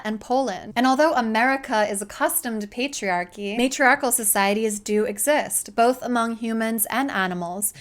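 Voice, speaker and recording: A woman's voice: fairly high pitch, a bit bright, clear, resonant tone. A woman hosting a commentary show, articulately reading a scripted, argumentative video essay. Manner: articulate, argumentative, addressing an absent opponent directly.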